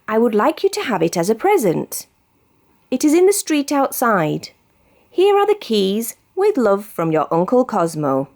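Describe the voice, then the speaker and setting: A woman's voice: on the high side, huge pitch swings, unhurried, darkish tone, exaggerated voice. A woman voicing a generous, jolly biker uncle in a children's storybook, hearty and inviting, with a British accent. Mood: friendly.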